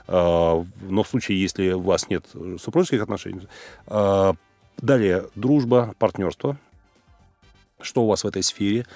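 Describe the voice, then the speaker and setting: A man's voice: deep voice, darkish tone, dry recording. A man, a business coach, answering listener questions on a call-in show in a lecturing way, telling anecdotes with acted dialogue. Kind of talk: live call-in advice talk.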